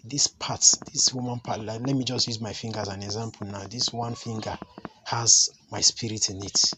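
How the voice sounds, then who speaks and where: A man, slightly hoarse from a cold, giving an earnest spiritual teaching as a chief priest. He often stops to ask the listener a rhetorical "hmm?". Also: on the low side, fairly even intonation, moderate pace, noisy background. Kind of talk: talking-head teaching to camera.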